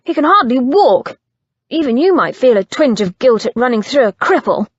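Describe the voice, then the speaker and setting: A woman's voice: sits high, very animated, normal speed, dry recording, dull audio, voice pushed up. A woman voicing a furious, threatening young man. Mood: vehement. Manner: shouting, threatening. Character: young man, vengeful, menacing, shouting.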